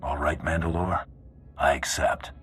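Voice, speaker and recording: A seasoned bounty hunter with an extremely low, gruff voice. He is cocky and sardonic, delivering his game cutscene lines coolly over background music. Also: steady tone, fairly bright tone. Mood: measured. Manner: wry, cool, unhurried. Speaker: player character in a video game. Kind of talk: video game cutscene acting.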